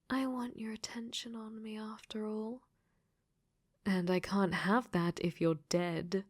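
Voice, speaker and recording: A possessive, clingy AI girlfriend from a dating game, acted by a woman for an ASMR audio roleplay. She speaks slowly and intimately at a medium pitch, often dropping into a whisper. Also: a little mellow. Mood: coaxing, sly. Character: possessive clingy female dating sim AI turned sentient.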